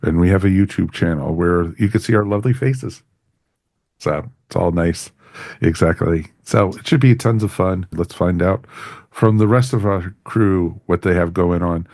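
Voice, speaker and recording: Low-voiced man hosting a casual podcast. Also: moderate pace, a little mellow, no echo, studio quality. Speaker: podcast host. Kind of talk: casual podcast sign-off and plugs.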